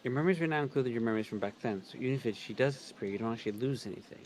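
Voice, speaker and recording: A man's voice: mid-range voice, rapid-fire, a little mellow, slight background noise. A man co-reading a visual novel on a livestream, moving between read lines and casual commentary. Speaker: co-reader on a game livestream.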